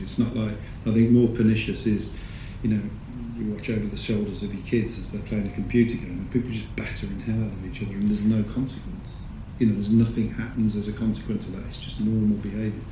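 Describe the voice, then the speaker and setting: Measured low-voiced man on stage. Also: warm tone. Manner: thoughtful, measured explanations. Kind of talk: panel Q&A answers. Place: large screening hall. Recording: echoey hall with audience noise.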